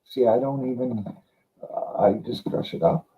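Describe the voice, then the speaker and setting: A man's voice: mid-range voice, normal speed, mellow, low-quality recording. A man talking casually in an informal chat.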